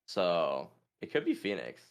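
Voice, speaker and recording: A man's voice: low voice, very animated, unhurried, gravelly, smooth. A man taking part in a quiz, thinking aloud in casual, informal chat as he weighs his answers. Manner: deliberating, informal. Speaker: quiz contestant.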